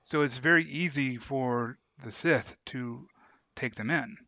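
A man co-hosting a podcast, explaining his thoughts in a halting, reflective, conversational way. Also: medium pitch, pushed and bright, no room sound, dull audio. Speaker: podcast co-host. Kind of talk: casual discussion.

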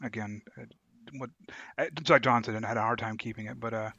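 Bright-voiced man on a dull lo-fi mic. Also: on the low side, fairly even intonation, fast, no room sound. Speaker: podcast co-host. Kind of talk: casual podcast chat. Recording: dull lo-fi sound.

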